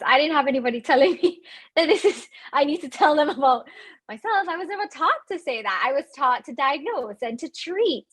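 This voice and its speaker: A woman's voice: sits high, a bit bright, breathy edge. A woman with a Jamaican accent, a physician in her thirties, giving chatty, upbeat advice straight to camera with many fillers and restarts.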